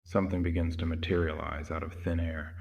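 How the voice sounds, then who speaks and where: A man's voice: sits low, little pitch movement, a little fast, vocal fry, a little mellow, muffled recording, a little hiss or hum. A man giving scripted narration of a horror story as its narrator.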